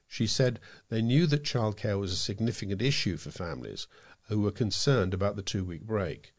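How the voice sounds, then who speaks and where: A man reads local news from a script as a volunteer newsreader. His voice sits fairly low, and he delivers each item in a measured, read-aloud way. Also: animated.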